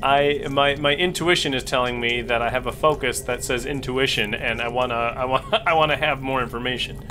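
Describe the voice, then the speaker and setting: A man's voice: mid-range voice, steady tone, a little fast. Male player, stammering, noisy line. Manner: stammering, repeats himself before getting words out. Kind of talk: playing a tabletop game.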